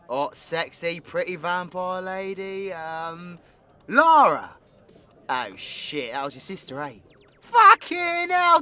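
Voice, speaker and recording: A man's voice: high-pitched, sharp tone, full character voice. A man playing a cocky, crude, flirtatious British supervillain in an audio roleplay, brash, teasing and theatrical with slangy, sweary talk. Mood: sheepish flirting, then boisterous teasing.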